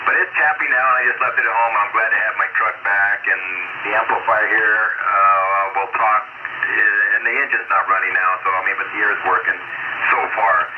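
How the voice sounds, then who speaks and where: A man chatting casually as an amateur radio operator, in a rambling, halting way, heard over a shortwave radio transmission. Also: fairly low pitch, fairly even intonation. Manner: rambling, halting, self-interrupting. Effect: shortwave ham radio transmission. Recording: very noisy, muffled shortwave radio signal, static. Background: loud radio static.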